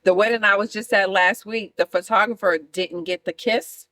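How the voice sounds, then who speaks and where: A woman from New York with a New York accent, a guest chatting casually about her business and telling lively stories. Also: medium pitch, normal speed. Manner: lively storyteller who acts out quoted voices. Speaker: guest, business owner and photographer. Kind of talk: casual conversational interview.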